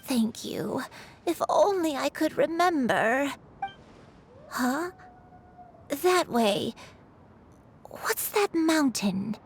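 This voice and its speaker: Game role: frail elderly grandmother, slow and halting, apologetic, gentle; background music. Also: high-pitched, lively intonation, a little hiss or hum, exaggerated voice. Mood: puzzled, searching. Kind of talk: video game voice acting.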